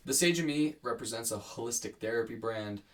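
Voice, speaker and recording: A man gives loose, repetitive sponsor announcements for a podcast. His mid-pitched voice sounds distant, picked up from a few feet off the mic. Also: brisk, a bit bright. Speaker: podcast host reading sponsor plugs.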